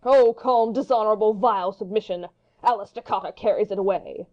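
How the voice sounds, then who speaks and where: Hot-headed young wit played by a woman, mid pitch, theatrical mockery, classical stage verse, slightly dull recording. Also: a little fast, close to an everyday voice. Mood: scornful, taunting. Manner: taunting, theatrical, verbose. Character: hot-headed, mocking, witty young male swordsman. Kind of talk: Shakespeare audiobook dramatic reading.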